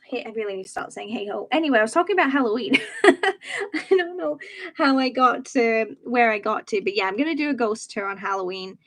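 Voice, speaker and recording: A woman's voice: a little high, animated, a little fast. Chatty young Edinburgh woman. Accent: soft Edinburgh Scottish, somewhat posh-sounding. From Edinburgh, Scotland. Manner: chatty, rambling, laughs easily, many "um"s and "like"s. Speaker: YouTube livestream host. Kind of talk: casual chat while crafting.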